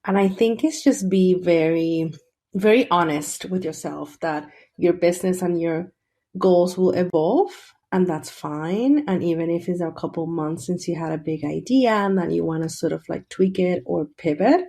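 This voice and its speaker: Chatty woman, lowish voice. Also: normal speed, warm tone. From Venezuela. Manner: chatty, restarts sentences, laughs often. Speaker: guest. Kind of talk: casual interview.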